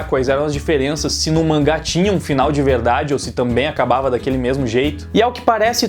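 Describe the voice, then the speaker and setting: A man's voice: fairly high pitch, darkish tone. A man with a Brazilian accent hosting a casual, scripted video review in an informal, conversational, slangy way. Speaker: YouTube host and anime reviewer.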